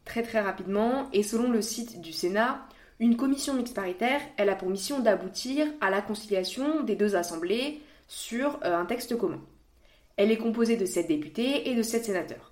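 A woman's voice: fairly high pitch, animated, moderate pace, a little mellow. A woman hosting a podcast, explaining things in a scripted, structured narration. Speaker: podcast host.

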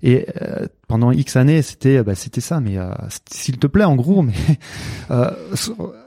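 Middle-aged man with a Marseille accent, dry close sound, halting casual talk. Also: mid-range voice, big pitch swings, gravelly, dark tone, husky, dry recording. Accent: Marseille French. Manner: hesitant, many fillers and restarts. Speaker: guest, coach and author.

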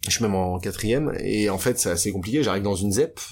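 A man's voice: lowish voice, fairly quick, a little mellow, husky. Candid young man from Paris. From France. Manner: candid, hesitant, many fillers and restarts. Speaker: guest. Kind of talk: casual interview, personal story.

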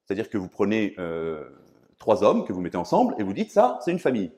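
A man from France lecturing on philosophy in a didactic, emphatic way, often pausing to search for words. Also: fairly low pitch, huge pitch swings, darkish tone. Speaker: priest and philosophy professor giving a lecture. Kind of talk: public philosophy lecture, semi-improvised.

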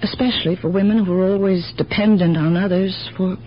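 An actress playing a kindly, wistful older aunt in a radio drama, speaking earnestly and haltingly with compassion. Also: female voice, medium pitch, fairly even intonation, lightly acted. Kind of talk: 1970s radio drama acting. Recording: muffled, noisy, humming old radio recording.

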